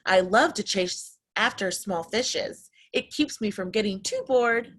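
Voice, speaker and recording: A woman's voice: mid-range voice, expressive, a little slow, a bit bright. A woman voicing a cheerfully predatory swordfish in sing-song verse for a children's storybook. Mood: eager. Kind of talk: children's picture-book read-aloud.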